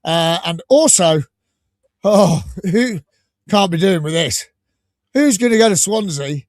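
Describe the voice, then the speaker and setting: A man hosting a live fan podcast, enthusiastic and rambling, a little croaky from a cold. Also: high voice, animated, slow, darkish tone, no room sound, studio quality. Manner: enthusiastic, rambling, laughs often. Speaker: podcast host.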